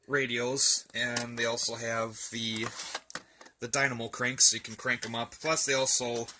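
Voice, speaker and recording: A man's voice: mid-range voice, sharp tone. A man giving a casual, rambling show-and-tell indoors, thinking aloud in a folksy, colloquial way. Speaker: hobbyist presenter. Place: indoors, in a room at home.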